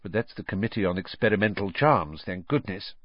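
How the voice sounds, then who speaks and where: A man's voice: on the low side, expressive, brisk, dry recording, highs cut off. A man voicing a mild, weary middle-aged father, absent-minded and sheepish, with a hesitant, mumbling manner.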